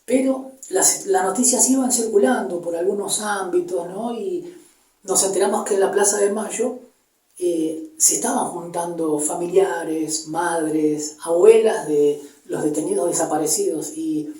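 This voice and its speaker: A man in his fifties with a Buenos Aires area Argentine accent, recounting personal memories in a halting, reflective way, pausing to search for words. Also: high-pitched, warm tone. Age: 50s. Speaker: interviewee giving personal testimony. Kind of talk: personal storytelling, unscripted recollection. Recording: echoey room, distant microphone.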